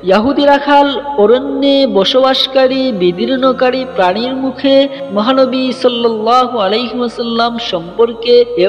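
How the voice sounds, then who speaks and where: A man narrating a scripted religious story in a formal, ornate, declamatory literary manner. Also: sits high. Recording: dull lo-fi, long reverb, music underneath. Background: music.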